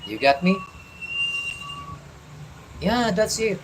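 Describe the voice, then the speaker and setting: Halting Filipino-accented man, fatherly tone. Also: high voice, sing-song, background noise. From Philippines. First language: Tagalog. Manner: halting, repeats and restarts words, fatherly advice. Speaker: vlogger. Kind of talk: casual livestream chat.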